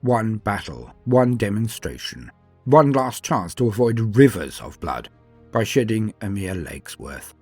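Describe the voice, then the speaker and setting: Deep, husky man narrating with theatrical swells. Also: sing-song, a little mellow, no echo. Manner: theatrical, measured, solemn delivery with emphatic swells and falling endings. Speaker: narrator and host of a fan fiction lore channel. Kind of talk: dramatic scripted storytelling narration. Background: music.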